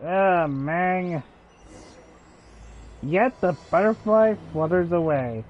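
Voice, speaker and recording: A man's voice: sits high, takes it slowly, a little mellow, a little hiss or hum. A man doing casual livestream commentary while he plays a video game. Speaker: gaming streamer. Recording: dull, slightly lo-fi mic.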